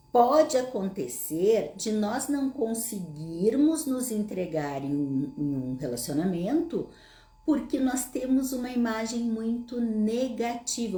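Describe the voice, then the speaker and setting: A woman's voice: a little high, dark tone, echoey room. A woman with a Gaúcho Brazilian accent, hosting her own show, who talks through questions from her audience in a casual, explanatory way, often asking them rhetorical questions. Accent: Gaúcho Brazilian Portuguese. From Brazil, Rio Grande do Sul. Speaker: psychologist host answering audience questions.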